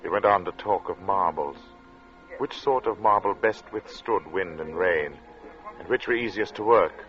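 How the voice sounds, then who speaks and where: A dull, vintage radio recording with music carries an introspective gentleman narrator. His voice sits low, and he tells his eerie story in a measured, brooding, uneasy way. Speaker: narrator and protagonist. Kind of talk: 1940s radio suspense narration and acting. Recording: muffled old radio drama recording.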